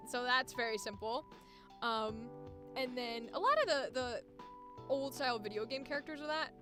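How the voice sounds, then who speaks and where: A young woman with a high-pitched voice, chatting casually about voice acting on a live stream and now and then trying out squeaky character voices. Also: normal speed, sharp tone, faint music behind. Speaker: voice actor streaming.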